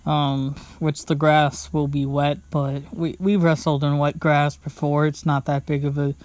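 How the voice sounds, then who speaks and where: Slow-talking young man rambling on his podcast. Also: a little high, little pitch movement, a little mellow, dry recording. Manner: rambling, lots of fillers and restarts. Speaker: podcast host. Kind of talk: casual solo podcast ramble. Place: at home, sitting indoors.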